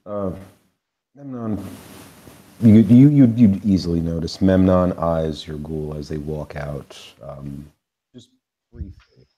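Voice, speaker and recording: A man's voice: low voice, slow, warm tone, dull audio. A man narrating as game master in a tabletop game, hesitant and full of fillers.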